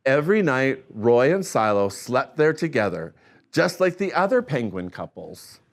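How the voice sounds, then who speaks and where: Slow, lively-voiced storytime reader, a man. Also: medium pitch. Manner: animated and expressive, with gasps and playful asides. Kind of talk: reading a picture book aloud to children.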